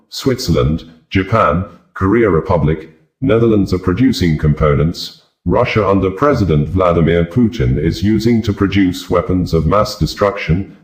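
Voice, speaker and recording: A man reading scripted news in a formal, deliberate way. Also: sits low, a little mellow, reverberant. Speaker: news reader.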